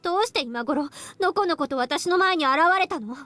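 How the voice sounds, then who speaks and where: A high, girlish voice for a prickly teenage girl in an anime visual novel. The delivery is stylised Japanese game acting. Light music sits behind it. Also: expressive, quick pace, a bit bright, no room sound. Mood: angry, accusing. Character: prickly teenage girl, estranged childhood friend.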